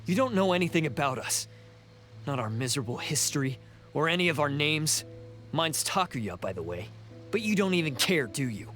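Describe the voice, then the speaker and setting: A bitter, resentful blue oni bandit. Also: male voice, a little high, very animated, normal speed, a bit bright, soft background music. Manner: bitter and accusing. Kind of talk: video game voice acting.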